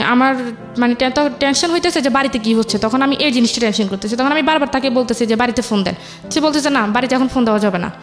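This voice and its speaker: Higher-voiced young Bangladeshi woman telling her story. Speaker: interview guest telling her life story. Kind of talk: conversational personal storytelling. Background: music.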